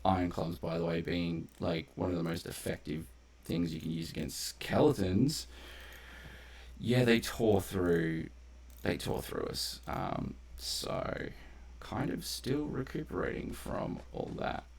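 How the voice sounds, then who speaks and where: A man's voice: deep voice, slow, gravelly, a little mellow, slightly rough. A man doing casual, rambling live gameplay commentary as a streamer, conversational and loose with swearing. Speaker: game streamer. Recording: faint background noise.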